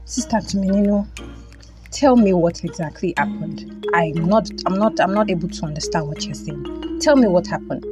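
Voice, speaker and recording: A woman's voice: on the low side, moderate pace, lightly acted. Woman acting earnest, protective Nigerian friend, music behind. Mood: concerned. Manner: earnest, emphatic, repeats phrases. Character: concerned, protective, devout woman friend, indignant yet reassuring. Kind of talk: audio drama acting.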